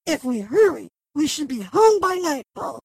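A woman plays a determined young sister in a fantasy audio drama. She is practical and leads the way in a high, lively voice, recorded dry. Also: normal speed, vocal fry, dry recording, exaggerated voice.

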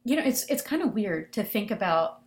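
A woman's voice: medium pitch, big pitch swings, fairly quick. Chatty woman podcast co-host. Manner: laughs easily, short replies. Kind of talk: casual chat.

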